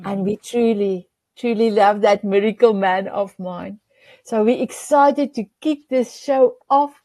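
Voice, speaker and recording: A woman's voice: medium pitch, measured pace, warm tone, dry recording. A woman whose first language is Afrikaans, hosting a talk show in an effusive way, often searching for words. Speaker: show host.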